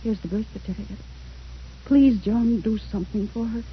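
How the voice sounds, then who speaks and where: A woman's voice: fairly high pitch, normal speed, close to an everyday voice. An actress playing an ailing, remorseful mother, pleading desperately in an old-time radio melodrama. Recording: muffled, noisy old radio recording.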